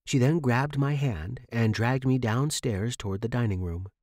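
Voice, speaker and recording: A man's voice: medium pitch, moderate pace, darkish tone, dry recording. A man narrating a scripted story for a fiction podcast, recorded close in a dry space.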